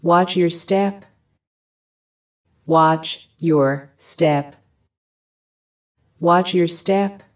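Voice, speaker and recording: A woman reading short English phrases for a language lesson, each one repeated with careful, even enunciation. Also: lowish voice, very slow, long pauses. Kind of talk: slow repeated phrase drills.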